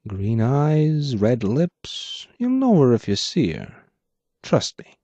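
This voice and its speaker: A man's voice: medium pitch, wildly swooping pitch, takes it slowly, warm tone, dry recording, heavily acted. A man voicing a grim, terse clockwork crime boss with a brass jaw in a noir story reading. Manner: terse, grim, clipped replies.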